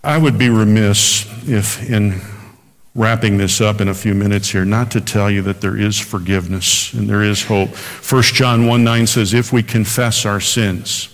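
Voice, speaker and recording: A man preaching a radio sermon, earnest, slowing down when he quotes scripture. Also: fairly low pitch, steady tone, in a large hall. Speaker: pastor.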